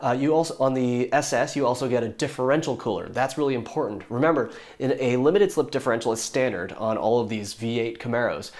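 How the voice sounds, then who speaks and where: A hoarse young man talking casually and enthusiastically, rambling with fillers and restarts as he explains things to his viewers. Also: medium pitch, fast, few pauses, a little mellow. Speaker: YouTube car reviewer.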